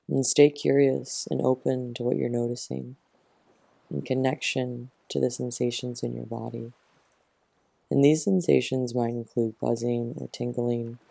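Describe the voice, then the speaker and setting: A man who teaches yoga nidra, gently guiding a relaxation meditation in a soothing, unhurried manner, close to the mic. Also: mid-range voice, vocal fry, no echo.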